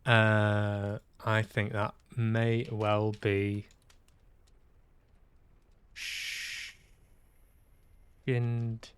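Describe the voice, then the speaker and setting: Guy streaming games, thinking out loud, unhurried, smooth clear voice. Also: on the low side, little pitch movement. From Manchester, UK. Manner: hesitant, musing aloud to himself. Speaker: livestream host. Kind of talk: casual livestream chat while playing games.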